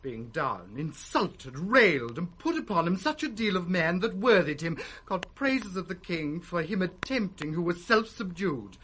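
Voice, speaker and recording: Husky, cowardly British steward. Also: male voice, sits high, big pitch swings, measured pace, hardly any pauses, fairly bright tone, full character voice, rough recording. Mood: self-justifying. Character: cowardly, self-justifying steward, a smug knave. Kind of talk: 1960s BBC radio Shakespeare acting.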